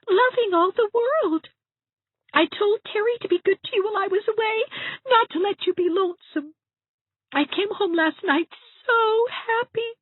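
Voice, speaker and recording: A woman's voice: very high-pitched, no echo. Heartbroken, theatrical young woman voiced by a woman, tearful and self-pitying; dry, close sound. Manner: tearful, theatrical, sobbing delivery. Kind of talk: dramatized audiobook reading. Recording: tinny phone-like dry sound.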